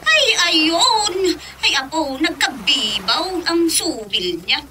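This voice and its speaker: Very high-pitched, coy second wife. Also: female voice, big pitch swings, slow, background noise, full character voice. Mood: coy, giggly. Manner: coy, exclamatory, giggly. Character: coy, giggly, dramatic young second wife. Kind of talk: comic radio drama acting.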